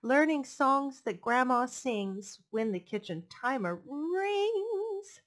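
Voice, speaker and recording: A woman's voice: high-pitched, sing-song, takes it slowly. A woman in her thirties reading picture books aloud to children in a playful, sing-song rhythm on a headset mic. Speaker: librarian reading storytime. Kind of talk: expressive picture-book read-aloud for children. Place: living room, indoors.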